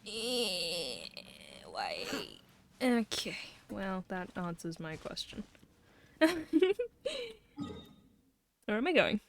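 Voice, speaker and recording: A woman's voice: fairly low pitch, big pitch swings, drawn-out words, vocal fry, long pauses, fairly bright tone, a little hiss or hum. A woman livestreaming a video game with casual, playful, reactive commentary, swearing freely and muttering asides. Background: faint game music.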